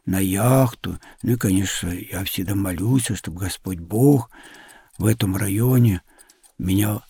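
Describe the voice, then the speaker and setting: A man, likely middle-aged, with a husky, gravelly voice tells stories conversationally to children in simple, loosely phrased explanations. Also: medium pitch, mellow, dry recording. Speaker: sailor and traveller guest.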